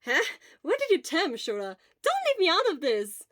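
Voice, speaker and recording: A woman voicing a loud, good-hearted, teasing teenage schoolboy, brash and exclamatory, in an amateur audio story. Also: extremely high pitch, huge pitch swings, moderate pace, no room sound, studio quality, voice pushed up, full character voice. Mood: indignant. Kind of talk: amateur audio fan-fiction acting.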